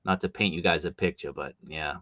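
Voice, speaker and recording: A man hosting a live stream from home, chatty and animated, with a big voice and coming down with a cold. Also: sits low, fairly quick, dry recording, muffled recording. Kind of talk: casual live stream chat.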